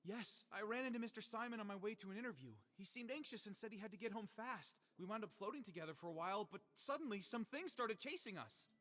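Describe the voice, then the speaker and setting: A man's voice: sits high, lively intonation, quick pace, hardly any pauses, a bit bright, big-room sound. An actor playing a sheepish, eager young male columnist who stammers out excuses in a theatrical video game style. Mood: explaining. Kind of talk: animated video game voice acting.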